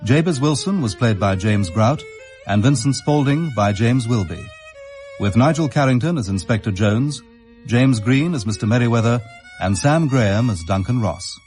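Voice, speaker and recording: A man announces the closing credits of a radio play in a scripted read. His voice sits at a medium pitch, with faint violin music behind it. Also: expressive, moderate pace, a little mellow. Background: solo violin music.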